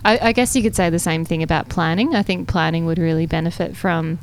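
A woman with a fairly low voice hosts an interview, introducing the guest and adding agreeable remarks. Faint background noise runs under her speech. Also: steady tone, quick pace. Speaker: podcast co-host. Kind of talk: interview hosting.

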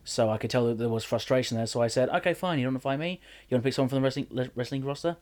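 A man's voice: medium pitch, racing. Chatty man telling stories. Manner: animated storyteller, many restarts. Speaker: wrestler and general manager. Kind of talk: casual podcast chat.

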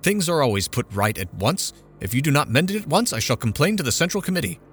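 A man's voice: very animated, moderate pace, faint music behind. A man voicing a petulant, fretful mother. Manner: complaining, indignant, raised pitch.

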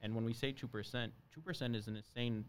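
A man's voice: mid-range voice, fast talker. A young man from Brazil whose first language is Portuguese, a medical student talking earnestly and chattily as a guest. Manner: earnest, chatty with frequent laughs. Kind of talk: casual conversation.

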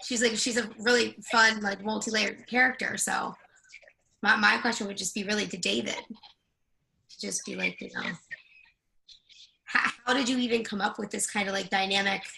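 A woman, an actress, casually discussing a reading in a halting, searching way. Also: mid-range voice, steady tone, vocal fry, bright, forceful tone, a little hiss or hum, rough recording. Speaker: actress in readers theatre.

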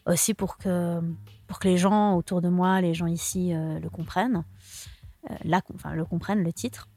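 A woman's voice: lowish voice, steady tone, moderate pace, warm tone, dry recording. A young woman, a singer-songwriter, answering interview questions in a casual, hesitant way with many restarts. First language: French.

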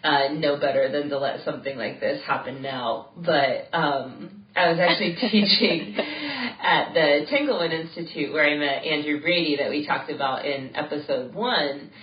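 A woman's voice: low-pitched, normal speed, a little hiss or hum. A woman co-hosting a podcast, talking casually while stuffy from a cold and laughing often as she tells stories. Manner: laughs often, animated storytelling. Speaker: podcast co-host. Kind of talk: casual chat. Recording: roomy, distant, dull lo-fi sound.

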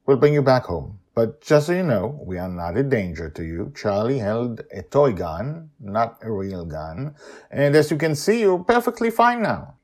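A man voicing a calm young man who reassures a frightened stranger, in a storybook reading. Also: medium pitch, moderate pace. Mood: reassuring. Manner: reassuring, coaxing.

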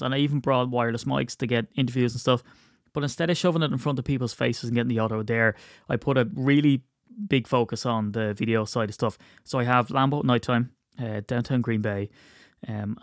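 A man's voice: mid-range voice, no echo, studio quality. A man hosting his own podcast, stuffy from a cold, rambling and poking fun at himself. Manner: rambling, self-mocking, chatty. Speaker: podcast host. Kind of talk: casual solo podcast chat.

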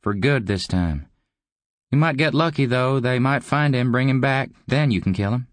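A man's voice: mid-range voice, animated, normal speed, no room sound, voice pushed up, close to an everyday voice. A man voicing a cocky, taunting killer-for-hire in an audiobook. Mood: casual, informative. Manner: casual, taunting. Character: cocky, mocking male killer-for-hire with easy drawl. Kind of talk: audiobook character acting.

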